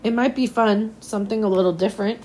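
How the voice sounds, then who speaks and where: Storybook role: encouraging, persuasive male teacher read by a woman, slightly hoarse, gentle delivery. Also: mid-range voice, fast talker, close to an everyday voice, rough recording. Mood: coaxing.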